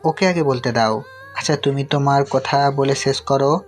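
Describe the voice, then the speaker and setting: A man's voice: mid-range voice, moderate pace, natural voice. A man acting a sceptical, reproving middle-aged father who guards family honour in an audio story. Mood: patient. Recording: music under the voice.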